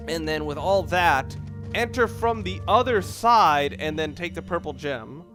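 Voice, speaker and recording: A man's voice: a little high, lively intonation, measured pace, a bit bright, soft background music. A young man giving casual, rambling commentary over a video game and correcting himself as he goes. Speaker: let's play commentator.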